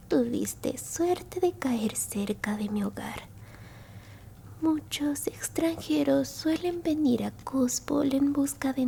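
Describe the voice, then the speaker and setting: Woman acting as old alien grandma, caring, whispered ASMR, creaky, mellow, unhurried. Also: high-pitched, slight background noise, full character voice. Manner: slow, hushed, soothing. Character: elderly alien grandmother, kindly, caring, slyly businesslike.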